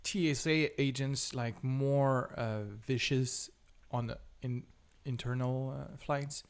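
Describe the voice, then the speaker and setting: A man hosting a podcast, chatting casually and halting often with fillers. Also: medium pitch, steady tone, clean tone. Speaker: podcast host. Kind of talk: casual chat.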